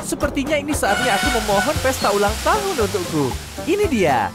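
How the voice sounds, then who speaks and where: A woman playing a cheerful, kind young male tank engine in an expressive, earnest children's cartoon dub. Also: fairly high pitch, a little fast. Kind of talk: dubbed children's cartoon acting. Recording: loud music behind.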